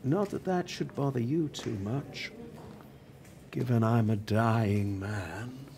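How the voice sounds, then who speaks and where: An actor in a radio play playing a dying older working-class television writer, proud, prickly and reflective, with a wry, deliberate way of speaking. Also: male voice, mid-range voice, noisy background. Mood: guarded, bitter.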